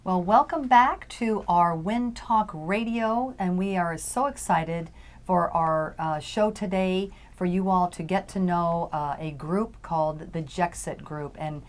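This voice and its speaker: A woman's voice: on the low side, a little slow. Gushing woman radio host. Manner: gushing, rambling, with many fillers. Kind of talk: casual radio interview chat. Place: on a tour bus.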